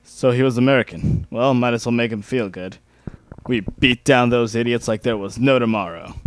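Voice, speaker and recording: A man's voice: mid-range voice, normal speed. A young man giving an amateur dramatic reading of a first-person story.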